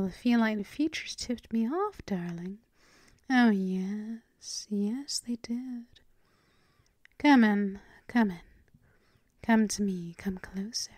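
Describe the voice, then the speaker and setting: A woman playing a seductive, dominant female vampire mistress in an ASMR roleplay, mostly in a breathy, purring whisper, teasing and possessive. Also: medium pitch, darkish tone, no echo, studio quality. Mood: teasing, luring. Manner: slow, purring, lingering with hums.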